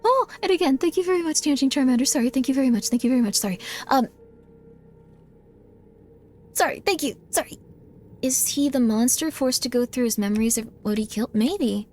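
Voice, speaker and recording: A woman's voice: high-pitched, expressive, brisk, darkish tone, slight background noise. A woman live-streaming a game, chatting in a bubbly way and often thanking her viewers. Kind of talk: casual live-stream chat while gaming.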